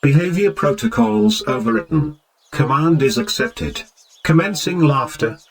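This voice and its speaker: A man's voice: mid-range voice, expressive, measured pace. A man playing a rigid robot guard in an audio drama, speaking in stiff, formal, clipped commands. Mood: flat, commanding. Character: rigid, officious robot guard made of gears. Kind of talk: scripted audio drama acting.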